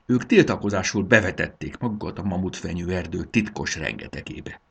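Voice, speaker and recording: A man's voice: low-pitched, animated, vocal fry, slightly rough, full character voice. A man voicing a knowing young female dragon in a children's storybook, explaining old tales matter-of-factly. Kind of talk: dramatized children's storybook reading.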